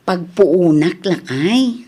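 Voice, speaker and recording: A woman with a Filipino accent playing a sharp-tongued village wife and daughter in a comedy radio drama. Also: on the low side, expressive, slow pace. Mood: conciliatory.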